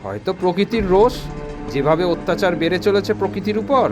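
A man's voice: high-pitched, animated, quick pace. A man playing a considerate, dutiful office worker in a cartoon, speaking politely and earnestly. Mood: earnest, concerned. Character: considerate, dutiful male office worker, an elder-brother figure. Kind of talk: Bengali cartoon voice acting. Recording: loud music bed over dialogue. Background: music.

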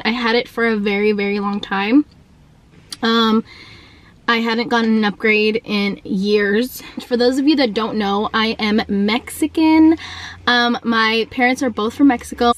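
A woman in her twenties, from the United States, chatting casually to the camera in a vlog with lots of ums and likes, recorded from arm's length in a parked car. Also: a little high. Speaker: vlogger. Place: parked car, indoors at first. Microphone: camera held at arm's length.

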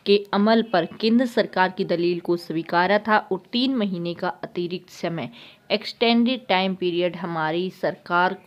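A woman's voice: on the low side, a little slow. Woman hosting a news update. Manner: conversational, with hesitations and restarts. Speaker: news channel host.

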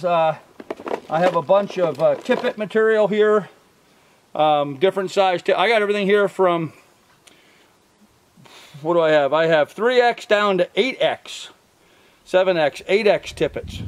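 Older man, higher than usual pitch, rambling outdoor show-and-tell, filler-heavy, camera-mic sound. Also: slight background noise. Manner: rambling, many ums and uhs, self-correcting. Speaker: vlogger showing fishing gear. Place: outdoors by a truck in a field. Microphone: camera mic from a few feet.

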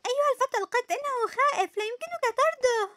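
A woman's voice: very high voice, animated, very fast, dry recording. A woman voicing a caring, earnest six-year-old girl superhero in a dubbed cartoon. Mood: pleading. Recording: studio voice with very loud cartoon music.

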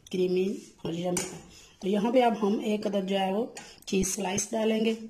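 Soft-toned woman narrating a recipe. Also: medium pitch, slight background noise. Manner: leans on the filler "jo hai vo", gentle instructive tone. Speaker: cooking channel host. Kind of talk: step-by-step recipe voice-over.

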